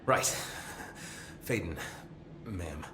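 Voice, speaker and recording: An actor playing a friendly, nervously deferential security chief in a video game, speaking in an informal, rambling way. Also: male voice, low-pitched, expressive, extremely slow, full character voice. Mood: flustered, apologetic. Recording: roomy echo, faint background noise.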